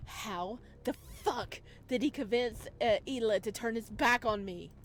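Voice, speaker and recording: A woman's voice: a little high, a little slow, slight background noise, pitched above the performer's own voice, natural voice. A woman playing an arrogant, unhinged male crime boss in a visual novel, emphatic and incredulous as they swear. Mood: enraged.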